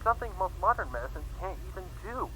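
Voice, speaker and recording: Man plays earnest pony researcher logging experiments, sharp and forceful delivery, deliberate clinical wording, faint noise behind. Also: high-pitched, wildly swooping pitch, vocal fry, full character voice, low-quality recording. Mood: focused, amazed. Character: studious female pony scientist recording experiment logs. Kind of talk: dramatic fan fiction audio narration.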